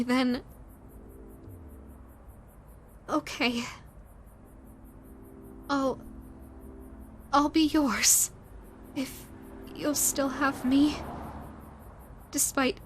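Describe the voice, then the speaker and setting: A woman voicing a shy, flustered female ghost in a romantic audio roleplay, stammering and halting, tender and full of self-doubt. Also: high-pitched. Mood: shy, yielding. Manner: stammering, hesitant, halting with repeated words.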